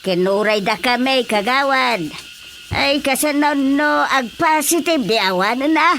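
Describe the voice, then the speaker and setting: Worried village woman, radio drama, high voice, pleading. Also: lively intonation, unhurried, a bit bright, full character voice. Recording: radio drama with faint noise.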